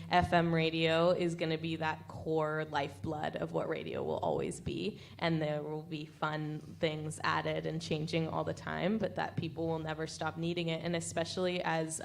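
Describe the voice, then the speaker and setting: An American woman moderating a panel discussion as a radio broadcaster, talking in an unscripted way. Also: fairly low pitch.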